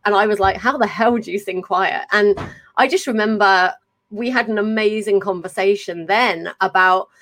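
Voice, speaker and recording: A woman's voice: medium pitch, moderate pace, a bit bright. Chatty woman hosting, laughing often. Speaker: podcast host. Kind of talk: casual video-call chat. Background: faint fan hum.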